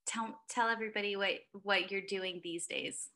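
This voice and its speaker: A woman's voice: mid-range voice, fairly even intonation, moderate pace, pushed and bright. A woman pastor hosting a casual church conversation, upbeat and chatty with many fillers. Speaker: associate director and pastor, host.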